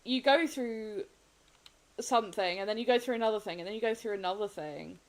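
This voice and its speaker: A woman streaming a game, sniffly, chatting candidly and rambling with frequent soft laughs. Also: mid-range voice, a little fast, low-quality recording. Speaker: gaming streamer. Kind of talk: casual chat while gaming.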